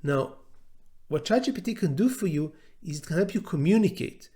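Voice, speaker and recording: A man whose first language is Hebrew, teaching a class in a measured, deliberate way, pausing often and repeating words as he thinks. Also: a little high, lively intonation, dark tone. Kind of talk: recorded lecture to students.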